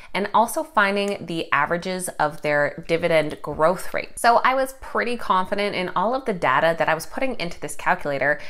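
A woman's voice: on the low side, a bit bright. A young woman hosting a finance channel, talking casually to camera from a home office, a little nasal and congested from a cold. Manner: upbeat, conversational, explains step by step.

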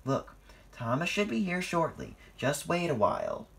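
A sweet and helpful female diesel engine from a storybook, put on by a narrator. She speaks in a raised pitch, forthright and ready to take charge. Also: wildly swooping pitch, moderate pace, gravelly, a bit bright, full character voice. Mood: loud, commanding.

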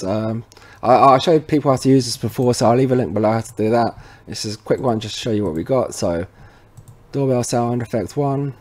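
A man's voice: medium pitch, warm tone. A man presenting a how-to tutorial in a casual, informal way, talking straight to viewers. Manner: informal, addresses viewers as "guys". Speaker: tutorial presenter for a tech retailer.

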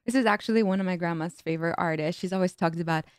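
A woman's voice: fairly low pitch, racing, clean tone, dry recording. A young woman whose first language is Spanish, reacting to music as a streamer in a bubbly casual way, switching between English and Spanish, speaking into a studio mic in a home streaming room. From United States, Mexican parents. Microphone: studio mic on boom arm, headphones on.

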